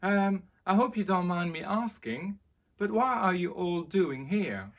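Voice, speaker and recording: Brief: kind young storybook prince, played by a man, raised pitch, polite and hesitant. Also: expressive, unhurried. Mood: nervous, polite.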